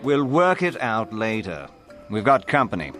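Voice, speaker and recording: Video game patrol captain: curt, defensive and bossy, pitch jumping around, faint music underneath. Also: male voice, big pitch swings, measured pace, a bit bright. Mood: curt, officious. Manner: curt, officious, irritable. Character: brusque, defensive, blustering corporate patrol captain.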